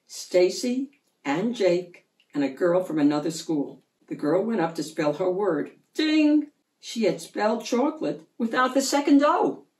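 A woman reads a children's picture book aloud in a medium-pitched voice. She tells the story expressively, leaning on important words. The recording is echoey, picked up a little way from the microphone. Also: darkish tone. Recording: roomy echo, distant microphone.

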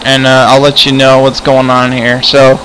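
A man chatting casually in improvised game roleplay, rambling and often repeating words haltingly. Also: medium pitch, monotone, a crowd in the background, rough recording. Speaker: host and player in a roleplay game video. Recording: music behind the voice. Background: music.

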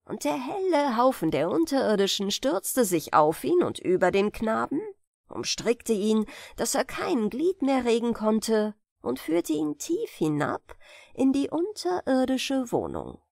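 Slow, lively woman reading fairy tales. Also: fairly high pitch, gravelly, dry recording, studio quality. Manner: expressive, deliberate storytelling. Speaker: storyteller, audiobook narrator. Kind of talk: bedtime fairy tale reading.

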